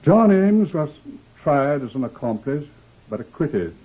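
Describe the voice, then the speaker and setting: A man's voice: on the high side, sing-song, measured pace, breathy edge, exaggerated voice. A man playing a grave, formal narrator of true-crime cases in scripted old-time radio style. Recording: tinny old radio recording.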